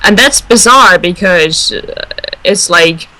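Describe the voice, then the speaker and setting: A woman's voice: lowish voice, lively intonation, slow, pushed and bright, low-quality recording. A woman on a discussion panel, talking casually in a reflective, explanatory way. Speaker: radio show panelist.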